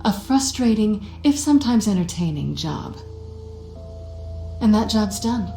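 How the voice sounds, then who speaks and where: A woman's voice: medium pitch, animated, a little slow, mellow. A woman voicing a sly, flirtatious, cynical cat-burglar antiheroine in a video game, with a teasing, sardonic manner. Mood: cold, dismissive. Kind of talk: cinematic video game voice acting. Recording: game soundtrack music behind, roomy reverb. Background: background music.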